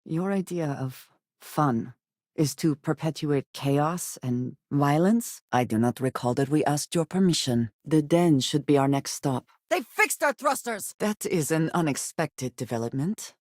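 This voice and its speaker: A woman playing a guarded, earnest female spacefarer in a video game, speaking in formal, measured phrases. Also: low-pitched, animated, darkish tone, no room sound, clean studio sound. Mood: measured, reflective, then urgent. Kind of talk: video game character dialogue.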